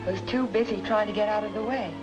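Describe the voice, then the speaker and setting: A woman's voice: mid-range voice, steady tone, fast talker, lo-fi sound, close to an everyday voice. An actress plays an anxious, stubborn young woman in a vintage radio noir. Her delivery is intense and emotive, in a middling register, with music heard behind her. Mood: uneasy. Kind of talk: old-time radio noir drama acting.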